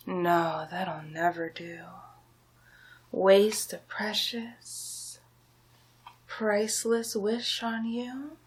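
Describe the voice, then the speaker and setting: A woman voicing a scheming, domineering male sorcerer villain in a slow, breathy, often whispered ASMR roleplay. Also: medium pitch. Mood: scornful, gloating. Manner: slow, drawn-out, menacing, hypnotic.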